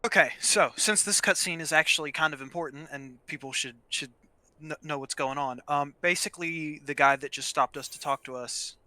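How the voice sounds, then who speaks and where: Bright, higher-voiced young man. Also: normal speed, no echo. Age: young adult. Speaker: gaming co-host. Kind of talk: casual chat over gameplay.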